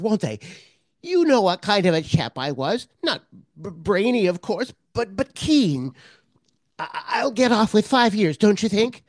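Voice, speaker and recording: A woman's voice: mid-range voice, no room sound. A woman playing a timid, eager middle-aged male prisoner in a radio drama, stammering and ingratiating. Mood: anxious, pleading.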